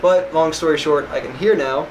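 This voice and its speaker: A man's voice: fairly high pitch, moderate pace. Rambling young man, noisy room. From West Virginia. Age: young adult. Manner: rambling, filler-heavy, "like" often. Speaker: vlog co-host. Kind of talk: casual chat. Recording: noisy home recording.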